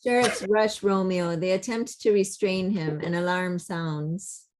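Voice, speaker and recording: A woman's voice: fairly low pitch, steady tone, a little slow, a little mellow, a little hiss or hum. A woman reading stage directions as scripted narration for a play table read over a video call.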